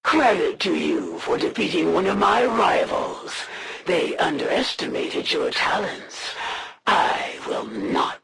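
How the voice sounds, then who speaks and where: A woman playing a proud, menacing female alien assassin in a video game, speaking in a formal, haughty, deliberate way with breathy phrase ends. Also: low-pitched, fairly bright tone, full character voice. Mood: cold pride. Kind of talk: scripted video game villain monologue.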